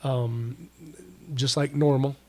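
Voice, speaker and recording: A man's voice: mid-range voice, steady tone, slow, warm tone. A middle-aged man, congested from a recent illness, co-hosting a hunting podcast in a rambling, folksy way with plenty of slang and restarts. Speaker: podcast co-host. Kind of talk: casual chat.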